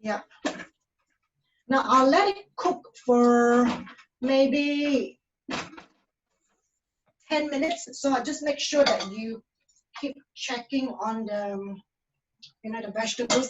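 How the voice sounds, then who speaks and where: A woman walks through a cooking demo, stopping and restarting her sentences and leaning on fillers. Her voice sits a little high, and a faint hiss runs under it. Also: lo-fi sound. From Malaysia. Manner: halting, filler-heavy, many restarts. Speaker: cooking demonstrator. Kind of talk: casual cooking demonstration.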